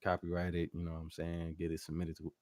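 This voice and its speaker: Very deep-voiced man, slangy guest artist. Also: completely flat delivery, fast talker, a little mellow, no echo, studio quality. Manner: slangy, rambling, frequent "you know what I'm saying". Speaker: guest recording artist and music producer. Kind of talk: casual interview talk.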